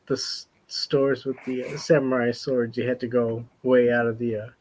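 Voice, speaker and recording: A man chatting casually, speaking haltingly with repeated words and pauses. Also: mid-range voice, flat delivery, darkish tone, a little hiss or hum, poor audio. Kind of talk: casual chat.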